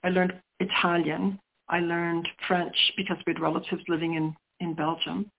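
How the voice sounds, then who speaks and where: A woman's voice: on the low side, level tone, moderate pace, creaky. Middle-aged woman poet guest, Sicilian first language, reflective and searching, tinny phone-line sound. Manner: reflective, searching for words with restarts. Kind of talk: interview conversation.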